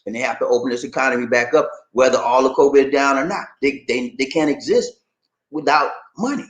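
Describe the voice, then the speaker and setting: A man's voice: medium pitch, a little fast. A man hosting a live stream, reading out viewer comments and chatting loosely and directly to his audience. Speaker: channel host reading viewer chat. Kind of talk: casual live-stream chat. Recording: echoey room.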